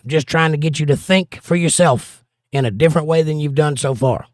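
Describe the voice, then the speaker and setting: Man, hoarse voice, slightly high pitch, folksy livestream host, dry close mic. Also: brisk, dry recording. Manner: folksy, plain-spoken advice. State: hoarse, voice partly lost. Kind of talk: casual livestream chat, reading viewer comments.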